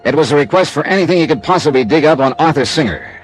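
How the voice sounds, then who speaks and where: A man's voice: on the high side, animated, fast talker, soft background music, close to an everyday voice, low-quality recording. An actor playing a wry, streetwise American male investigator narrating his case in a clipped, hard-boiled radio drama style. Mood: wry, intent. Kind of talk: classic radio detective drama, first-person narration.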